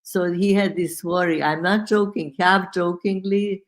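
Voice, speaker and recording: Slow-speaking woman. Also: on the low side, fairly even intonation. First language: Hungarian. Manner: measured, thoughtful, skeptical. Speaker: podcast co-host. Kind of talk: casual conversation.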